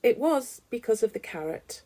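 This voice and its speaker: A woman reading a children's story aloud with animated, dramatic emphasis. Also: a little high, normal speed. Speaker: storybook reader.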